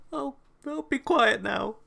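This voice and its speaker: A man's voice: extremely high pitch, slow pace, gravelly, sharp tone, full character voice. A man playing a timid, eager-to-please young prisoner sidekick in a scripted audio comedy, speaking meekly and hesitantly. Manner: meek, hesitant.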